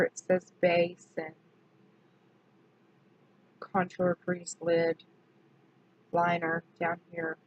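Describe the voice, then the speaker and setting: A woman, slightly hoarse from allergies, chatting casually through a makeup product review and correcting herself as she goes. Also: lowish voice, rough recording. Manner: chatty, self-correcting, slow and deliberate. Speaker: beauty vlogger.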